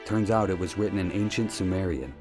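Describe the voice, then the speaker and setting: An actor playing a polite, earnest amateur investigator in an adventure game, speaking in a courteous, measured way. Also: male voice, sits low, natural voice. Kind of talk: scripted point-and-click game dialogue. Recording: music under the dialogue. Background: background music.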